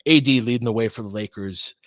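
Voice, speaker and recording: Male basketball play-by-play host, mid-pitched, informal fan-side delivery, excitable on big plays, very dry recording. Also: fast talker, no echo. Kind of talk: live basketball commentary and analysis.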